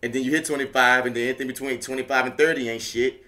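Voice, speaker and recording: A man's voice: medium pitch, steady tone, quick pace, sharp tone. A man in his thirties with a New Orleans accent, co-hosting a podcast in casual chat, emphatic and laughing often. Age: 30s. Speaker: podcast co-host.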